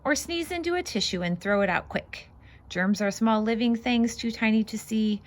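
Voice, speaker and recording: A woman reading a children's picture book aloud as host, expressive and sing-song, stressing the rhymes and adding casual asides. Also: a little high, normal speed.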